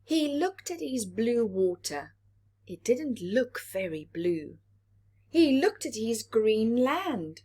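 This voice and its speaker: A woman reads a picture story to small children. She takes her time and moves her pitch up and down in an animated way, stepping out of the text to explain and ask questions. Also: takes it slowly, husky. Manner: expressive, asks the listeners questions. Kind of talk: story read-aloud with explanations for young children.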